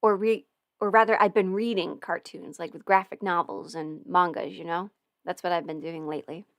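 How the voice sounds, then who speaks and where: A woman's voice: on the low side, steady tone, moderate pace, fairly bright tone, no room sound, clean studio sound. Chatty young woman on a livestream. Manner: rambling, giggly, many fillers. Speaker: livestream host answering questions. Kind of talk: casual livestream Q&A. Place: home, eating ramen. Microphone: close microphone.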